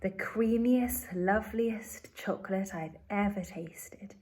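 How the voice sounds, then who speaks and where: A woman voicing a kindly, frail old grandfather who speaks softly and with wonder. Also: mid-range voice, takes it slowly. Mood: delighted.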